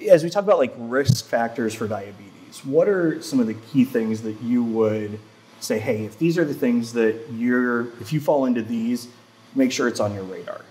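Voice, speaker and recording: A man's voice: mid-range voice, normal speed, a little mellow, a little hiss or hum, poor audio. A man in his forties hosting a local TV talk show, chatting casually with many fillers and asides, recorded on a lapel mic in a studio. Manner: chatty, self-deprecating, lots of fillers. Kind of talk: casual chat. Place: TV studio set.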